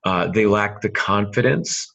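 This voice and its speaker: A man's voice: on the low side, measured pace, gravelly, fairly bright tone. A man hosting a casual podcast discussion, speaking in a hesitant, lightly teasing way. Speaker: podcast host, novelist. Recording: dull lo-fi sound.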